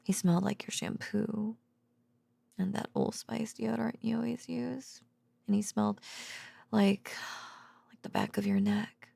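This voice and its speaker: A woman playing an affectionate, drowsy girlfriend in an intimate bedtime audio roleplay, murmuring softly and hesitantly with drifts into whispering. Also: mid-range voice, fairly even intonation, unhurried, pauses often, no echo. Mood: tender, dreamy. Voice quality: soft, breathy murmur dipping into whispers and creak. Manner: hesitant, rambling, giggly, tender. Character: affectionate, sleepy, bashful girlfriend, a researcher home from work. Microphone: close microphone, dry.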